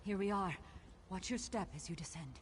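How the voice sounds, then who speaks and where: A woman's voice: mid-range voice, animated, normal speed, lightly acted. An actress playing a wise, stoic old warrior woman and sword teacher in a fantasy game, composed and formal. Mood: resolute. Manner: composed, matter-of-fact, formal. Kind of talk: scripted fantasy game dialogue. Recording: faintly noisy game capture.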